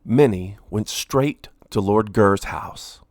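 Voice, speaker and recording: A man reading a children's story aloud in an expressive way, with deliberate, dramatic emphasis. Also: lowish voice, dry recording, clean studio sound. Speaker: storybook reader, host.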